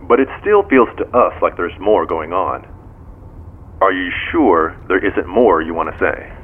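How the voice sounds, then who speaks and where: An actor playing a composed, probing American police detective in an audio drama, speaking formally and in measured phrases over a phone. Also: male voice, sits low, very animated, husky, exaggerated voice. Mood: formal, pressing. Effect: phone call. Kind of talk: modern audio drama dialogue. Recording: tinny phone line with background noise.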